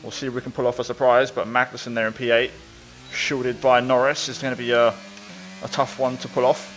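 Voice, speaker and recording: A man giving live commentary on a game as he plays it, thinking out loud. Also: mid-range voice, fairly even intonation, brisk, faint music behind, poor audio. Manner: thinks aloud while playing. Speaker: gaming video creator, player.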